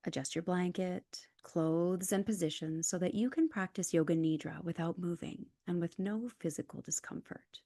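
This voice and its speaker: Female yoga nidra guide, lower register, calm and soothing, scripted instructions, very dry close sound. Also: moderate pace, few pauses, dry recording.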